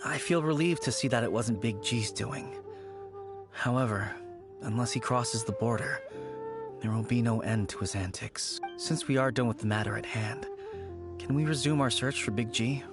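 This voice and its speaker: A woman playing a composed, earnest ally in a video game, speaking in measured, formal phrases. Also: extremely low pitch. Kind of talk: video game character acting. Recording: music behind the voice.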